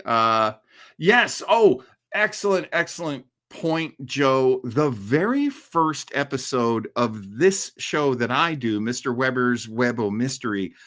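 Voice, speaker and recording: Male livestream host, medium pitch, conversational commentary, thoughtful delivery with plenty of pauses. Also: a bit bright. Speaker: livestream host of a classic radio horror show. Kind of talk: casual live commentary and chat with listeners.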